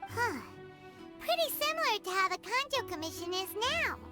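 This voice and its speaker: A woman voicing a tiny chatty female companion creature with a high, lively voice. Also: moderate pace, loud music behind the voice. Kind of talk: game voice acting.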